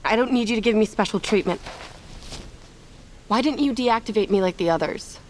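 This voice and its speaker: An actress playing a guarded, weary but defiant survivor in a naturalistic audio drama, with terse, blunt delivery. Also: female voice, fairly high pitch, brisk, darkish tone, a little hiss or hum, close to an everyday voice, rough recording. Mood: guarded, insistent.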